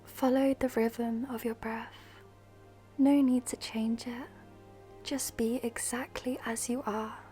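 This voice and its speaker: Female meditation guide: mellow, breathy half-whisper, even pitch, soothing scripted delivery with long pauses. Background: music.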